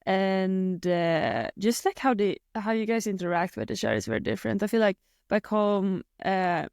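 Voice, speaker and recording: Young Swedish woman, guest in a casual chat, smooth and clear tone, dry close sound, halting with lots of "uh". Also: medium pitch, fairly even intonation, darkish tone, dry recording. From Sweden. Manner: hesitant, many fillers and restarts. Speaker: guest athlete.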